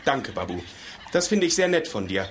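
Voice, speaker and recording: A woman's voice: very deep voice, very animated, normal speed, a little hiss or hum. A woman voicing a sensible, somewhat grumpy creature in a children's audiobook, speaking in a firm, matter-of-fact way. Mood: pleased. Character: sensible practical creature, a bit grumpy boat builder.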